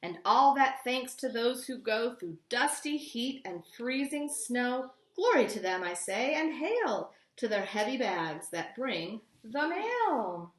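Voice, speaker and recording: A woman's voice: a little high, big pitch swings, slow, few pauses, slight background noise. A woman voicing an astonished, exclamatory male traveler in a children's storybook. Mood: amazed, grateful. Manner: exclamatory, theatrical. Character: chatty, astonished traveler man receiving his long-travelled letter. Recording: echoey room.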